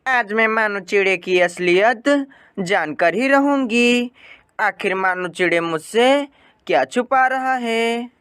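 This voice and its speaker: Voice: mid-range voice, takes it slowly, a bit bright, clear, resonant tone, no echo, clean studio sound. A narrator voicing an indignant female cartoon sparrow. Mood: suspicious. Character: angry distrustful female sparrow wife. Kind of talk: Hindi cartoon moral story dialogue.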